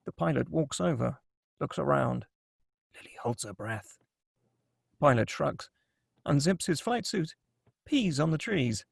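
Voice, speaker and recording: A man's voice: fairly high pitch, lively intonation, unhurried, vocal fry, long pauses, husky, no echo, clean studio sound. A man reading stage directions as dramatic scripted narration at a table read.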